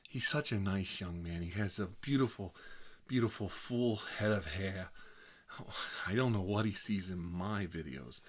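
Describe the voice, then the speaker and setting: Man playing a low-voiced, fussy, rambling gossip. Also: moderate pace. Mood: coy, gossipy. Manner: rambling, fussy, flustered, repeats himself. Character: Marvin, fussy gossipy man living with his mother. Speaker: ASMR channel host. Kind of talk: ASMR comedy role-play, one-sided chat with a visitor.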